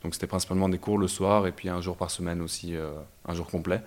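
A young man from Belgium, a startup founder telling his story in a casual interview, relaxed and hesitant with many fillers. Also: low voice, fast talker. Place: startup incubator office.

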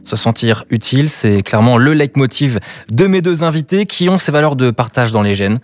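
A man's voice: mid-range voice, expressive, fairly quick. A man from Brittany hosting a conversation with guests, upbeat, with playful game-show asides. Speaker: podcast host. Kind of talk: hosted conversation.

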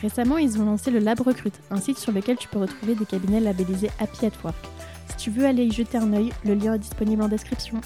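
Podcast host lady, super mellow dark voice, lots of ums, faint music behind. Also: medium pitch, steady tone, quick pace. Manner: hesitant, many fillers and restarts. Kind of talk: casual interview.

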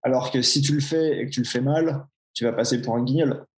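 Swiss French guy in his 40s, flat delivery, roomy echo; frank, self-mocking interview guest. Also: mid-range voice, a little fast, a little hiss or hum. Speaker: personal trainer, podcast guest. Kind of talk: casual interview chat.

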